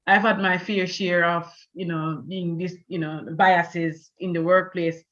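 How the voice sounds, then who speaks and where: A woman's voice: fairly low pitch, flat delivery, a little slow, dull audio. Jamaican woman hosting an online panel. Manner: warm, with many "you know" fillers. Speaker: event host.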